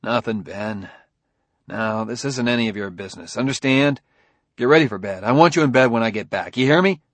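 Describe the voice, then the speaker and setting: Gruff detective dad, read by a male narrator: husky, tense, commanding, with lively swings in pitch. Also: brisk, dry recording. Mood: stern. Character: gruff, impatient plainclothes detective father, science fiction audiobook.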